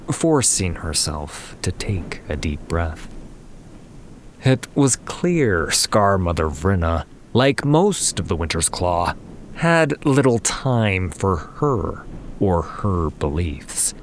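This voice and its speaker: A man with a low-pitched voice narrates a fantasy tale. His delivery is slow, measured and solemn, with faint ambience running behind the words. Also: big pitch swings, a little hiss or hum. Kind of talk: scripted fantasy story narration.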